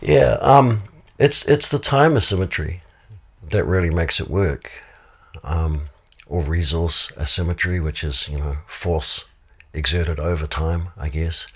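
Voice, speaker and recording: Deep-voiced male guest with a cold, husky, thinking aloud and restarting his sentences. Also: a little slow. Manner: rambling, many restarts and fillers. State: has a cold. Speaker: podcast guest. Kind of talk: casual discussion. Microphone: studio microphone.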